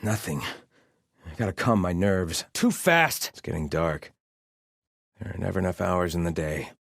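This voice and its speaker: Casting: scornful, devout desert-kingdom soldier for game barks, clipped military delivery, big pitch swings, dry close sound. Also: male voice, lively intonation, moderate pace, gravelly, fairly bright tone, no echo, exaggerated voice. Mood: grave, weary. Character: haughty, zealous male desert-kingdom soldier, scornful of outsiders. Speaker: video game voice actor. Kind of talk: game combat barks and ambient character lines.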